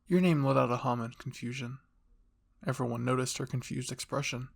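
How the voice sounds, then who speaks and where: A man's voice: medium pitch, fairly even intonation, a little fast, creaky. A man reading scripted story narration as the narrator of a fan fiction roleplay.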